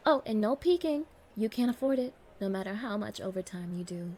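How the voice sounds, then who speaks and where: A woman's voice: medium pitch, animated, a little fast, a little mellow, slight background noise, close to an everyday voice. A woman playing a spoiled, contemptuous young gold digger in an ASMR roleplay, talking in a condescending, mocking, bossy way. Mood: scornful. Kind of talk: ASMR roleplay audio acting.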